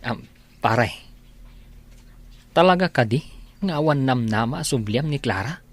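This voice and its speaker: A man's voice: medium pitch, expressive, measured pace, no room sound. A man with an Ilocano accent acting a concerned friend giving advice in a radio drama. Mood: advising. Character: concerned male friend, gently advising his drinking buddy.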